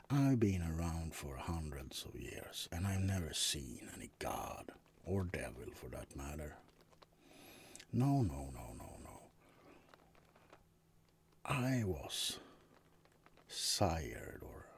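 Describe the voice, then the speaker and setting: A man's voice: a bass voice, slight background noise, full character voice. A man playing a laid-back, wry, centuries-old vampire roommate in an intimate, rambling ASMR roleplay. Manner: hesitant, rambling, intimate, drifting into whispers. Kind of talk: ASMR roleplay monologue addressed to the listener.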